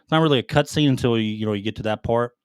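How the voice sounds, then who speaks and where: Man, podcast co-host, slangy, profane, enthusiastic, middle pitch, dry sound. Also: racing, smooth, no echo. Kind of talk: casual chat about video games.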